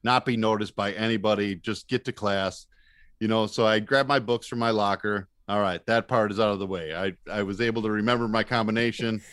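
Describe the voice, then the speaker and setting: A man's voice: fairly low pitch, steady tone, fairly quick, fairly bright tone, smooth, dry recording. A man with an American accent telling self-deprecating stories in a casual, comic way as a podcast guest.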